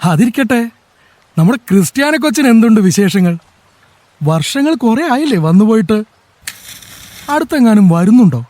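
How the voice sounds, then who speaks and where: A man's voice: sits high, big pitch swings, moderate pace, long pauses, warm tone, no room sound. A man playing an admiring village farmer and friend in a radio drama, talking eagerly with a Kerala Malayalam accent.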